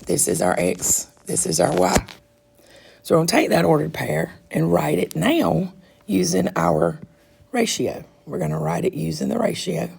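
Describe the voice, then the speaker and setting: A woman with a congested, raspy, froggy throat, patiently walking students through a math quiz step by step as their teacher. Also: low-pitched, sing-song, moderate pace, darkish tone, slight background noise. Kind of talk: recorded math lesson walkthrough.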